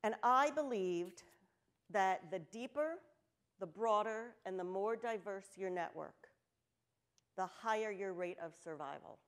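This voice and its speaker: An older woman from New Jersey giving a conference talk on an auditorium stage, candid and lively, with joking asides. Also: mid-range voice, a little slow. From New Jersey, United States. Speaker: conference speaker. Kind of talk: storytelling keynote talk. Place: stage of a large auditorium with audience.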